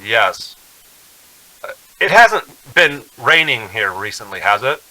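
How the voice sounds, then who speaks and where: Bright, tense young man, uneasy traveler. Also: mid-range voice, very animated, slow, exaggerated voice, poor audio. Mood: nervous, hesitant. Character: uneasy young man narrating his eerie road trip. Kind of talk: radio drama acting, first-person suspense narration.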